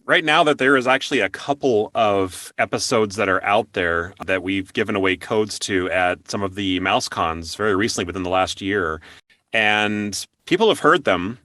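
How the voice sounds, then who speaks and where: A man hosting a conversational interview, chatty and quick to laugh. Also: fairly low pitch, pushed and bright, no room sound, studio quality. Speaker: interview host.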